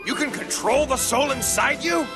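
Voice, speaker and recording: An actor playing a brash, excitable, loyal alien henchman in an English anime game dub, with a gravelly voice. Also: extremely high pitch, moderate pace. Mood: startled. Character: gruff, hot-headed loyal male alien henchman. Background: loud music.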